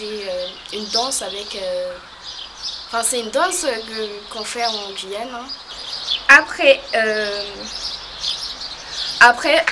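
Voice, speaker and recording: A young woman from French Guiana, talking casually to camera as a vlogger, hesitant and full of pauses. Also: a little high, background noise. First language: a local creole or regional language. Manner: hesitant, many pauses and fillers.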